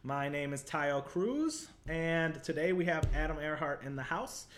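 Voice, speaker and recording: A man's voice: a little high, lively intonation, a little slow, fairly bright tone, a little hiss or hum. Chatty Chicago-accented man hosting a livestream. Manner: chatty, upbeat, lots of "like" and "totally". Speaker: livestream show host. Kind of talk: casual live interview chat.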